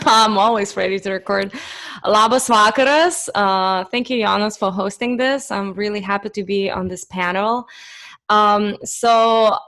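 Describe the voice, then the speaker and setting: A woman's voice: mid-range voice, a little slow, fairly bright tone. A woman, a composer and vocalist on a panel over a video call, talking earnestly in long run-on lists. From Lithuania. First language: Lithuanian. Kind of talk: casual panel talk.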